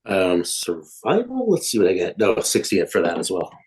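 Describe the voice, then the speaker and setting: A man's voice: low-pitched, huge pitch swings, moderate pace, a little mellow, slightly rough. A man chatting casually as a player in a tabletop game.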